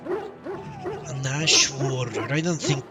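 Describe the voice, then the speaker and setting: A man's voice: mid-range voice, huge pitch swings, very slow, recorded from a distance. A man giving casual live commentary on a game he is playing, musing aloud and reading out dialogue choices. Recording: voice off-mic over loud game audio. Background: loud game music.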